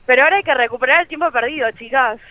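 A woman's voice: high-pitched, racing, muffled recording. A young Argentine woman chatting casually as an interview guest, with a colloquial, slangy and self-deprecating manner. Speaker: interview guest, co-creator of a meme page. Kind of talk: casual chat in a radio interview.

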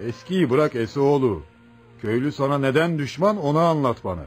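An actor playing a calm, probing gendarme station commander in a Turkish radio play, measured and unimpressed. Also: male voice, medium pitch, natural voice. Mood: skeptical calm. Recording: faint music behind radio drama.

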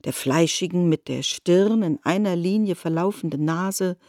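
Casting: female literary narrator, warm dark timbre, slightly low, dry recording. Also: normal speed, no room sound.

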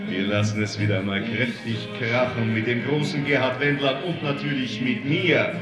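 An actor playing an ageing, jovial amateur DJ who announces a dance in a showy, drawn-out way. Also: male voice, medium pitch, steady tone, lightly acted. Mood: jovial showmanship. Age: older. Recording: echoey hall PA with loud music. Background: dance music.

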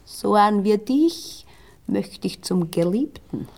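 Young Viennese streetwalker, coaxing, colloquial dialect, very lively intonation, 1960s radio drama. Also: female voice, medium pitch, slow pace. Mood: coaxing, eager. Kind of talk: 1960s German-language radio play acting.

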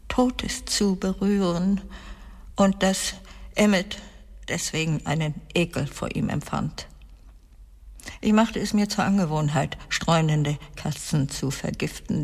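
Radio drama casting: embittered wealthy wife in middle age, low-energy slow confession, husky and breathy, reverberant space. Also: female voice, darkish tone, exaggerated voice. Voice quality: husky, creaky. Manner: slow, halting, weighty delivery with breathy drops. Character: bitter, broken middle-aged wealthy wife confessing dark secrets. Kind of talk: dramatic radio play monologue. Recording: long reverberant hall sound.

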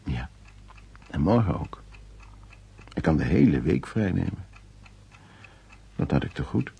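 An actor playing a weary, evasive husband in a radio play, speaking hesitantly and haltingly. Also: male voice, very deep voice, big pitch swings, creaky, dark tone, slightly rough, full character voice. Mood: hesitant, reassuring. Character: evasive husband, weary home-loving office worker. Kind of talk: naturalistic radio play acting.

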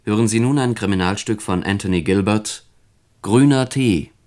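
A man's voice: on the low side, normal speed. A man announcing a radio play in a formal, scripted style. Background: music.

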